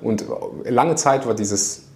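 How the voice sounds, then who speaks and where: A man hosting a podcast in casual conversation, talking quickly with many restarts and laughing things off. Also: mid-range voice, a little mellow. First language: Russian. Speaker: podcast host. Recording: echoey room.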